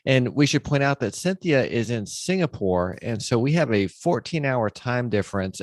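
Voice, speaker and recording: A man hosting an interview podcast, speaking in a conversational style. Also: on the low side. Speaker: podcast host.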